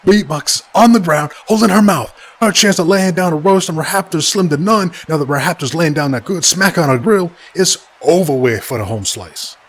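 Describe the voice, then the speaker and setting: A man's voice: fairly high pitch, moderate pace, hardly any pauses, natural voice. A man playing a hyped, slangy wrestling commentator who narrates each blow of the fight. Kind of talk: sports commentary.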